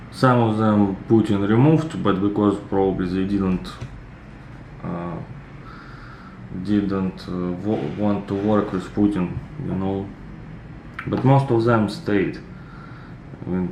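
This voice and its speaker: A Russian man in his thirties, reacting to a film in relaxed, rambling chat with many fillers. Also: low-pitched, slow, long pauses. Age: 30s. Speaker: reaction video host. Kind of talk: casual commentary. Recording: echoey room with clear background noise.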